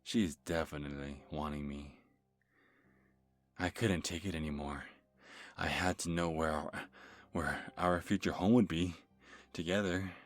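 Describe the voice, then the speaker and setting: A man playing a nervous, creepy lovestruck stalker telling a first-person horror story in an ASMR manner, halting and stammering as he corrects himself. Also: very deep voice, steady tone, no room sound. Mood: nervous, obsessive. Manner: halting, stammering, breathy sighs and self-corrections. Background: music.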